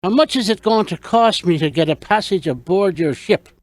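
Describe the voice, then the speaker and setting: A man's voice: high-pitched, expressive, normal speed, no echo, pitched above the performer's own voice. A man voicing a hapless, penniless young Irishman in an audio storybook, plaintive and protesting. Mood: worried. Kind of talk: audiobook character dialogue.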